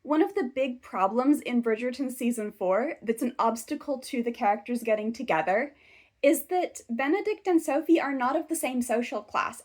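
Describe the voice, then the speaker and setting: Measured woman's voice, a touch high. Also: darkish tone. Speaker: video essay host. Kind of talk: scripted commentary video.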